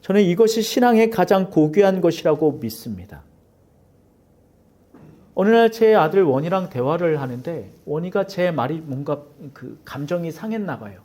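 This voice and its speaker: A male pastor preaching in a church with a warm, mellow voice. His pitch swings widely, rising for emphasis and falling low for hushed asides. He acts out dialogue and drops to near whispers. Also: expressive, normal speed. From Seoul. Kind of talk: sermon. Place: church sanctuary.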